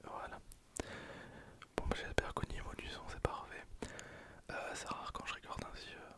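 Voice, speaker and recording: A young man gives casual, hesitant gameplay commentary in a breathy, unvoiced ASMR whisper. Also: normal speed. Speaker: ASMR gaming video host.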